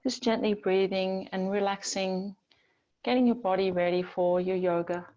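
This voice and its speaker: A woman teaching yoga, guiding relaxation in a gentle, soothing, unhurried manner with long pauses between phrases. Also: medium pitch, fairly even intonation, lo-fi sound. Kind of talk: guided yoga and relaxation instruction.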